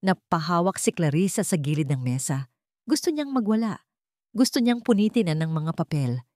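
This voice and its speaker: Woman narrator, lowish pitch, dark mellow tone, dramatic storytelling, dry close recording. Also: sing-song, normal speed, no room sound.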